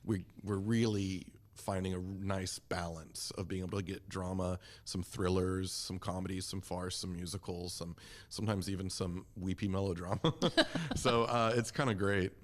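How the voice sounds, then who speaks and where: Low-voiced man in conversation. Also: steady tone, normal speed. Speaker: community theater member, podcast guest. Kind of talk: conversational interview answers.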